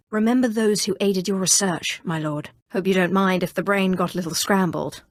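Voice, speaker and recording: A woman's voice: medium pitch, no room sound, clean studio sound, natural voice. A woman acting a haughty, sardonic young Sith sorceress in a video game, with a cool, imperious and dryly mocking delivery. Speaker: video game player character. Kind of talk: scripted video game dialogue.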